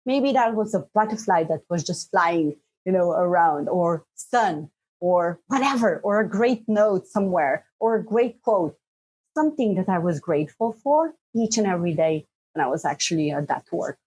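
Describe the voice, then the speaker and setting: A middle-aged woman from Slovenia whose first language is Bosnian, telling her story as a podcast guest in a lively, rambling way, full of fillers and laughs. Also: on the low side, normal speed, husky, rough recording. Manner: lively, frequent "like" and "you know" fillers, laughs often. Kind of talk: casual interview talk.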